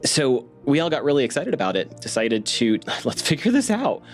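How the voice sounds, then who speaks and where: A man casually recalling past work in an interview, acting out bits of remembered conversation in an animated way. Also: mid-range voice, moderate pace, faint music behind. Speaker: media producer.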